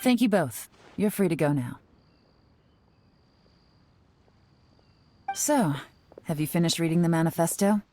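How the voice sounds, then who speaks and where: Actress as a low-voiced, shrewd woman investigator. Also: lively intonation, warm tone, a little hiss or hum, lightly acted. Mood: composed, knowing. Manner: measured, knowing, self-assured. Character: cool, shrewd woman investigator, composed and in command. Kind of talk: video game voice acting.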